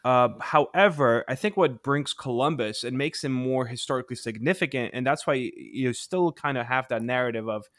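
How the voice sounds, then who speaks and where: A man's voice: medium pitch, normal speed, hardly any pauses, a bit bright, dry recording. A middle-aged man co-hosting a casual podcast, rambling with lots of fillers and restarts. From Poland. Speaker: podcast co-host. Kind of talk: casual chat.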